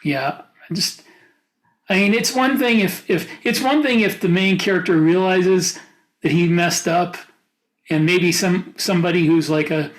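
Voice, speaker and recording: A man co-hosting a podcast, discussing books casually and giving blunt opinions in halting, restarted phrases. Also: high-pitched, poor audio. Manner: opinionated, halting mid-thought. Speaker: podcast co-host.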